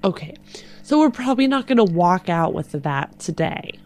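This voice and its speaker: Low-pitched woman playing a tabletop game. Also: lively intonation, normal speed, darkish tone, soft background music. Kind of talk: casual in-game planning chat.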